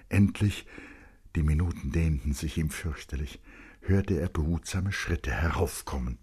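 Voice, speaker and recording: Deep, husky male storyteller. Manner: expressive, suspenseful storytelling. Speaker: narrator, reader. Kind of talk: dramatic literary narration for radio.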